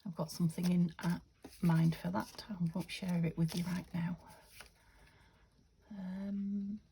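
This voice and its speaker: A woman from the UK chatting through a craft-along, thinking aloud and often dropping to a half-whisper, her voice a little croaky. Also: lowish voice, level tone, unhurried, warm tone, slight background noise. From United Kingdom. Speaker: craft vlogger. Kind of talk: casual craft-along chat.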